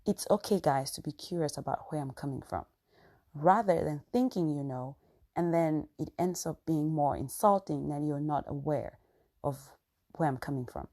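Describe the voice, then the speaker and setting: A woman's voice: low-pitched, moderate pace, dark tone. A woman with a Cameroonian accent telling a personal story to her viewers in an earnest, chatty way. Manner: earnest, conversational, frequent "you know" asides. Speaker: vlogger.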